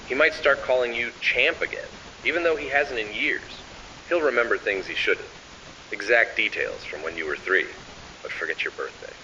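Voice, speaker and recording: A man with a lowish, forceful voice delivers ominous horror narration, swinging noticeably in pitch as he measures out each phrase. The recording is dull and sounds distant from the microphone. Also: slight background noise. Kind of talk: scripted analog horror narration, addressing the listener.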